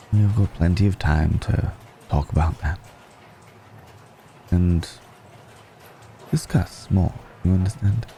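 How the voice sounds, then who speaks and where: A man playing a suave, secretive, courteous vampire noble in an intimate ASMR roleplay, speaking in a gravelly murmur with measured, hesitant pauses and genteel teasing. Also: very deep voice, dark tone, slight background noise, full character voice. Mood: grave, soothing. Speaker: audio roleplay performer.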